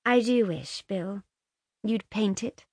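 A woman's voice: medium pitch, slow, a little mellow, slightly rough, no echo, clean studio sound, voice pushed up. A woman voicing a flighty, affected young society wife in an audiobook, breathless and gushing. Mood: dreamy, affected. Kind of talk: audiobook character dialogue.

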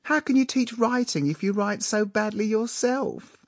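A man's voice: high-pitched, animated, normal speed, vocal fry, dry recording, studio quality, pitched above the performer's own voice, heavily acted. A man voicing an affected, teasing young girl with a shrieky voice in a story reading.

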